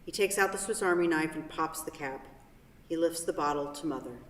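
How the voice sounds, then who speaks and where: A woman serves as narrator and host at a play reading. Her voice is a little low and is picked up from a distance in a very echoey hall. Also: fairly quick. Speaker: stage-directions narrator and event host. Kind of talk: scripted narration and hosting. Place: theatre hall.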